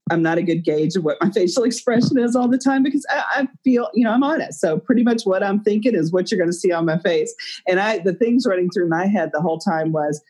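A woman's voice: lowish voice, a little mellow, poor audio. A woman co-hosting a casual podcast, speaking candidly and self-deprecatingly and laughing often. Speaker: podcast co-host. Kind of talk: casual chat.

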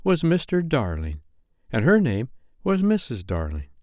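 A man's voice: mid-range voice, huge pitch swings, unhurried, darkish tone, no room sound, studio quality, voice pushed up. A man voicing a young girl who tells a bedtime story in a patient, motherly, slightly chiding way. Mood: storytelling.

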